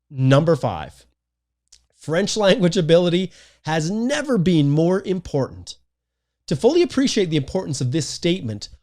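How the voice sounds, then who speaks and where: Male presenter, scripted explainer, animated pitch, punchy list delivery. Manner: lively, emphatic delivery of a numbered list. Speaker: immigration consultant presenting a video.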